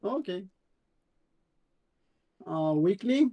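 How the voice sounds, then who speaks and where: A man from Romania with a Romanian accent, reviewing crypto charts on a live stream in a halting way, with many fillers and repeats. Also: sits high, animated, lo-fi sound. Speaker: co-host, crypto chart analyst.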